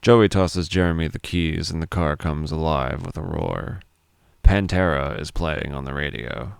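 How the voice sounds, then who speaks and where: A man's voice: very deep voice, vocal fry, no room sound, studio quality. A man narrating a scripted dramatic story as a storyteller.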